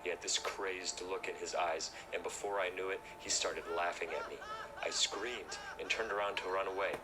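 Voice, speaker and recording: A man's voice: deep voice, steady tone, moderate pace. A man reading a scripted first-person horror story as narrator. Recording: noisy recording.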